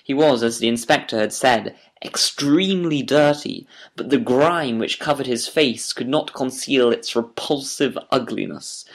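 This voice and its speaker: Medium-pitched man narrating an audiobook. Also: vocal fry.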